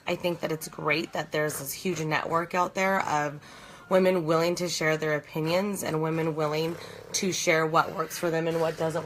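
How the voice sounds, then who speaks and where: A woman in her thirties talks into her phone from bed at night, her voice a little hoarse and low-pitched. She rambles earnestly, circling back with fillers and false starts. A faint hum of the room sits behind her. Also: a bit bright, low-quality recording. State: slightly hoarse. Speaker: host of a live video challenge. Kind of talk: casual chat on a live stream.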